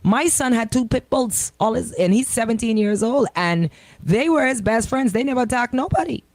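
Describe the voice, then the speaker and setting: A woman with a Bahamian accent hosting casual radio chat, animated, emphatic and colloquial, close on a studio microphone. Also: fairly high pitch, normal speed, no echo. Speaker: radio host.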